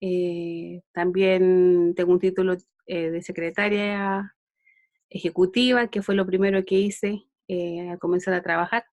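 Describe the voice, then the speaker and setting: A woman's voice: on the low side, flat delivery, a little mellow, no echo, muffled recording. Chilean woman teaching a Bible lesson. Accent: Chilean Spanish. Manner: unhurried, with frequent fillers and restarts. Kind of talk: online Bible lesson with opening prayer.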